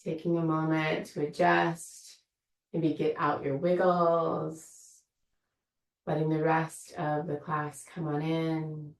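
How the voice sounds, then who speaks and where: A woman teacher leading a guided meditation in a slow, soothing, invitational way. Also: sits low, flat delivery, gravelly, roomy sound, far from the mic, rough recording. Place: room of an online yoga studio.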